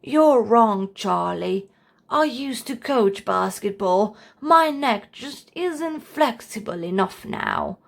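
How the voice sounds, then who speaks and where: Woman as a slow-talking old grandpa giraffe. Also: fairly high pitch, lively intonation, creaky, heavily acted. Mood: gently correcting. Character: kindly elderly grandfather giraffe, encouraging. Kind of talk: children's storybook character voice.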